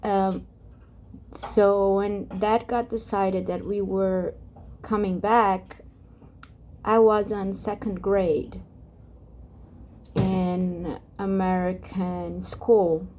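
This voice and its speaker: Slow, soft-spoken Brazilian woman. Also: medium pitch, level tone, slight background noise. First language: Portuguese. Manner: halting, many restarts and fillers, drifts off topic. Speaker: ASMR vlogger. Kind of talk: soft-spoken rambling vlog.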